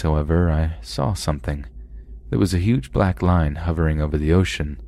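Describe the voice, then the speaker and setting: A man hosting a podcast, calmly reading submitted scary stories aloud in a measured first-person narration. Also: deep voice, gravelly. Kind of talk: scripted horror story narration.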